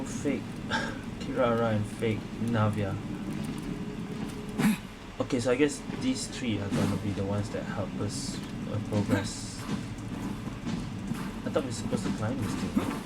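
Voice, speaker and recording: Casual gamer man over loud noise. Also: on the low side, slow pace, long pauses. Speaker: gaming streamer. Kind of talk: casual gameplay commentary. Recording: loud game noise behind voice.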